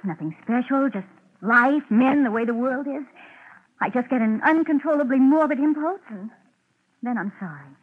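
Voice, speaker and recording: An actress playing an evasive, flirtatious, moody woman in trouble in a 1950s radio drama, with a husky, breathy voice. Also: sits high, lively intonation, normal speed. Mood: evasive, melancholy. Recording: tinny, narrow old radio recording.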